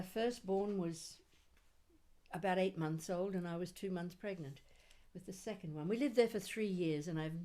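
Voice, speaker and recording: Slow, deliberate woman reciting poetry. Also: fairly low pitch, mellow. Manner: dramatic, deliberate, lilting delivery that drifts into half-singing. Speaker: poet reading their own work. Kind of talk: expressive live poetry recital with chatty introductions.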